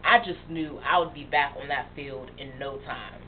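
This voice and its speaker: An actress playing a young woman who haltingly and emotionally confesses a past addiction to her best friend. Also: extremely low pitch. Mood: recounting. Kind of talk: dramatic monologue. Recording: tinny phone-like sound with faint hiss.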